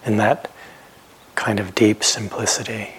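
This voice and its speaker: A man giving guided meditation instructions as a teacher, speaking gently and unhurriedly with pauses and phrases that trail off softly. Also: on the low side, fairly even intonation, slightly rough. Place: meditation retreat center.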